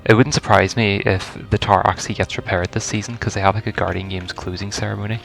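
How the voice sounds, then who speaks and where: Man, low slightly gravelly voice, casual gaming commentary, rambling think-aloud delivery, faint music behind. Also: little pitch movement, fast talker, a bit bright. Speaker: gaming video host. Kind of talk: casual first-reaction game commentary.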